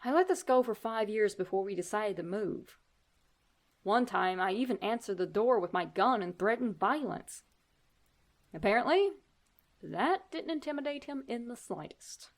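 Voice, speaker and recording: A woman narrating a first-person horror story in an expressive, suspenseful way, with deliberate pauses. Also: medium pitch.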